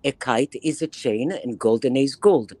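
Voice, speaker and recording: A woman in her eighties from Israel with a Bronx accent, lecturing a reading group over a video call in an animated, emphatic way, repeating phrases for effect. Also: a bass voice, a little slow. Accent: Bronx New York. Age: 80s. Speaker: guest lecturer. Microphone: webcam on video call, close to the face.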